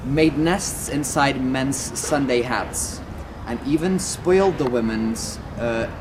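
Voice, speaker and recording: A man reads verse aloud at a slow, deliberate pace, occasionally tripping on a phrase. His pitch is average, and clear vehicle noise runs behind the recording. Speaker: amateur reader. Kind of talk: verse recitation. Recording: noisy location, vehicle sounds.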